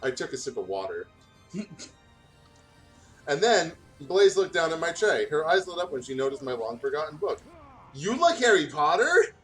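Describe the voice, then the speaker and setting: A man reads fan fiction aloud with a lot of swing in his pitch. He is casual and jokey, breaking into asides and stumbling over lines. Faint music plays behind him. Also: normal speed, long pauses, poor audio. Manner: animated, stumbles over words while reading. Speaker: let's play co-host reading fan fiction aloud.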